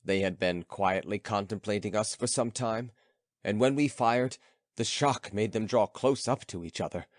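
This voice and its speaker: A man's voice: on the low side, moderate pace, dry recording, studio quality. A man narrating a literary audiobook from a script.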